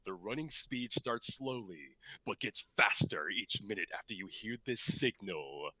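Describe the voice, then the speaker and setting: A man voicing a grandiose dark overlord, making dramatic drawn-out announcements in an anime dub style. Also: on the low side, lively intonation, no room sound, heavily acted, rough recording.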